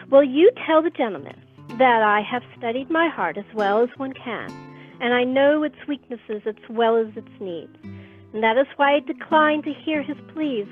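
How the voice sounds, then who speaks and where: Woman acting a very high-voiced haughty young lady. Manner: formal, haughty, precise wording. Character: proud, wounded, genteel young lady spurning her suitor. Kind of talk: radio play acting. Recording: narrow phone-like sound, faint guitar music.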